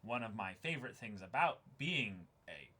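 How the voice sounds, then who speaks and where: A man's voice: lowish voice, very animated, moderate pace, sharp tone, slightly rough. A man who hosts an online reaction channel, talking casually and unscripted in a reflective, explanatory way.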